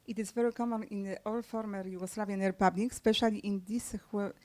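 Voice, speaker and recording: A woman author whose first language is Serbian, answering questions at a book launch in halting speech with many fillers and restarts. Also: mid-range voice, fairly even intonation, warm tone. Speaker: author guest. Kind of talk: conversational book-launch interview. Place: press club event room.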